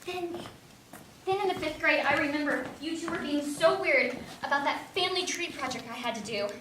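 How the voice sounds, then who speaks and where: A woman's voice: high voice, fairly quick, fairly bright tone. A young woman acting in a one-act stage play as an earnest, stubborn adopted woman searching for answers. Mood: hurt, hesitant. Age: young adult. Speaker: stage actor. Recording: distant echoey room recording, clear background noise.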